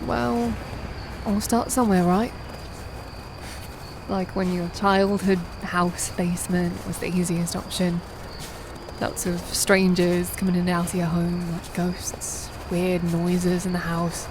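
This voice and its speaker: A woman acting a young, sardonic and guarded daughter in a naturalistic audio drama, with dry, deadpan teasing. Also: fairly low pitch, slow pace. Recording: noticeable background noise and ambience.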